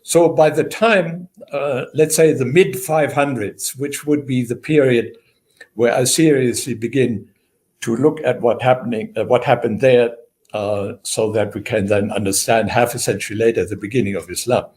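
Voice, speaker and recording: An older man from Germany with a German accent, answering interview questions as a historian, measured with frequent fillers. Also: mid-range voice, steady tone, a little mellow. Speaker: guest historian.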